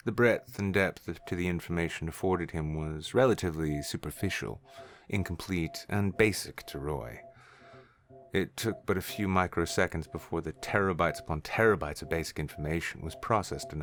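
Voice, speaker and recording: A man's voice: low voice, slight background noise. A man reading scripted narration for a science fiction story. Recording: music under the voice.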